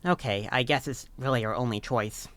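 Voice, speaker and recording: An actor playing a worried, reassuring young student peacemaker in an amateur audio drama, measured and conciliatory. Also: mid-range voice, fairly bright tone, lightly acted. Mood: worried, practical.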